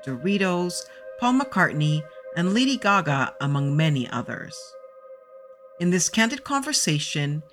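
A woman's voice: mid-range voice, fairly bright tone. A woman hosting a podcast, reading a scripted introduction and asking interview questions in a conversational way. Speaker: podcast host.

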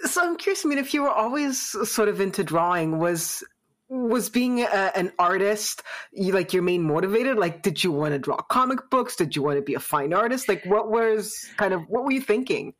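A woman's voice: mid-range voice, animated, moderate pace. A woman hosting a podcast, reading a scripted introduction and asking curious interview questions. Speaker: podcast host.

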